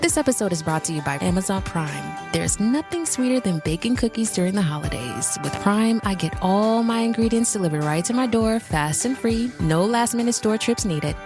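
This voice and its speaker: A woman reads a scripted advertisement in an upbeat style. Her pitch is average, and a guitar music bed plays clearly behind her. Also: lively intonation, normal speed, hardly any pauses. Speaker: advertisement reader.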